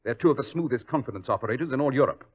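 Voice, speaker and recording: Dry-witted master detective, crisp lecturing, lively pitch swings, muffled vintage radio. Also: male voice, racing. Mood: wry. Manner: crisp, lecturing, dryly witty. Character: cool, sardonic English master detective. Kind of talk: 1940s radio acting. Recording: dull lo-fi 1940s radio recording.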